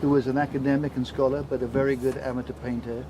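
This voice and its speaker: A man, a painter and teacher, answering interview questions in a brisk, affable way. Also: mid-range voice, little pitch movement, noisy background.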